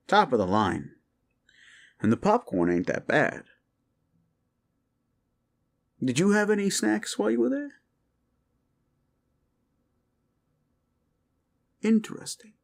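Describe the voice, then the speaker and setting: A man's voice: mid-range voice, gravelly, full character voice. A man plays a rumpled police detective in an interrogation roleplay. His mid-range voice rises and falls freely as he rambles and muses. He is polite and folksy on the surface, shrewdly needling underneath with leading questions. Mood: casually probing. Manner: rambling, musing, halting, feigned casualness with leading questions. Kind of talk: roleplay audio drama, interrogation monologue addressed to listener.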